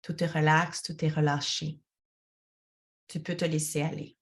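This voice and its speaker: A woman guides a relaxation as a yoga teacher, speaking to one listener in a calm, soothing, instructional way. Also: low-pitched, measured pace.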